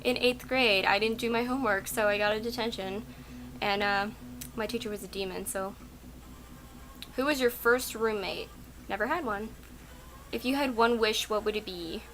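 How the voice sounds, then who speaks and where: A woman's voice: medium pitch, normal speed, pauses often, fairly bright tone, slight background noise, poor audio. Chatty young woman vlogging from home. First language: Russian. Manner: rambling, chatty, lots of "like" and "um". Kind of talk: casual chat answering tag questions. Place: at home at night.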